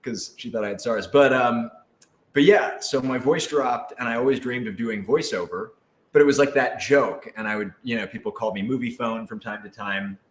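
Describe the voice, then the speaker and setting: A man's voice: mid-range voice, moderate pace. A young American man, a voice actor, chatting casually and telling stories in a wry, joking, rambling way. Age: young adult. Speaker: voice actor on a radio drama. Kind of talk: casual chat, storytelling. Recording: echoey room with audible noise.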